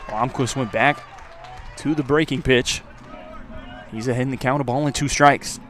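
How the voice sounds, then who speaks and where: A man giving live play-by-play baseball commentary for radio, his voice lifting on the action and settling between pitches. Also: fairly even intonation, normal speed, a bit bright, a faint crowd. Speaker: play-by-play announcer. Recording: clear outdoor ambient noise. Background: outdoor ballpark ambience.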